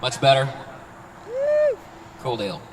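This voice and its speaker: A man's voice: mid-range voice, takes it slowly, crowd noise. A man reading his own short story aloud in a conversational, first-person style at a live event. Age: 30s. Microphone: handheld microphone, picked up from afar. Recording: distant, reverberant live recording with street noise.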